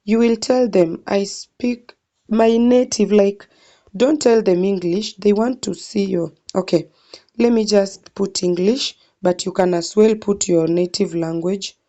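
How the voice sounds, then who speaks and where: A woman's voice: mid-range voice, steady tone, a little slow, dark tone. A young Kenyan woman walking viewers through an online sign-up form, thinking aloud and calling them guys. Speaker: tutorial vlogger. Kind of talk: screen-recorded walkthrough.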